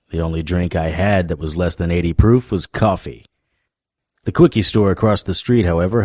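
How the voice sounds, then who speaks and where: A man playing a wry, world-weary supernatural private detective, narrating a noir radio drama in a deadpan, sardonic way. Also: low-pitched, dry recording, clean studio sound.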